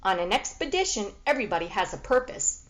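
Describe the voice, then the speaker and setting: A woman's voice: medium pitch, very animated, fast talker, lo-fi sound, close to an everyday voice. A woman voicing an aloof, self-important female cat with a curt, haughty manner in a storybook reading. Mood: aloof, smug.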